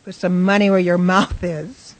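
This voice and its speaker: A woman's voice: fairly low pitch, level tone, moderate pace, lightly acted, rough recording. A woman acting a playful, sentimental wife in a radio drama, affectionate, teasing and coaxing.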